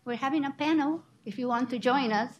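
A woman's voice: sits high, fairly quick, muffled recording, slight background noise. Woman moderating a student panel. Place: college library.

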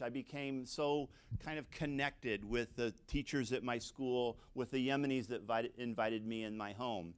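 Middle-aged man, American accent, smooth clear voice, medium pitch, conversational storytelling, frequent asides. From suburban United States. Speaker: English language teacher. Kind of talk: personal storytelling in a talk to an audience.

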